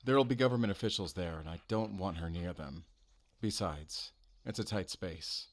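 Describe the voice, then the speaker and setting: Man playing a deep-voiced, brooding werewolf hunter. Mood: grave, matter-of-fact. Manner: halting, measured, solemn, intimate address to listener. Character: brooding, guarded male werewolf hunter, devoted to duty. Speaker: voice actor in an audio roleplay. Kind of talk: cinematic audio roleplay acting.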